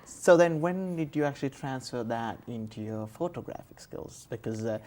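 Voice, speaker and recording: A male talk show host conducts a conversational interview at a middling pitch. He feels his way through questions, pausing and rewording them as he speaks. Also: expressive, darkish tone, slight background noise.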